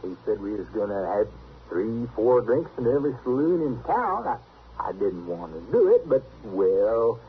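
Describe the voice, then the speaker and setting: A man playing a simple, earnest, stubborn backwoodsman with a rural American accent and halting, folksy speech in an old-time radio western. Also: fairly low pitch, very animated. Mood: sheepish, earnest. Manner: halting, plain-spoken, folksy. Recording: tinny, hissy old radio recording.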